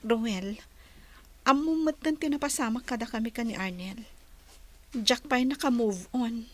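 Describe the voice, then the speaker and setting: A woman acting a soft-hearted office worker getting over a breakup in an Ilocano radio comedy drama, with a Filipino Ilocano accent. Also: on the high side, unhurried. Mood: polite, reserved. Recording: loud hum and noise behind.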